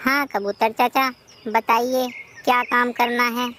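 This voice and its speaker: A woman's voice: fairly high pitch, flat delivery, slow, fairly bright tone, slight background noise. A woman voicing an honest, polite male sparrow in a cartoon moral story, speaking respectfully and earnestly. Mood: polite, agreeable.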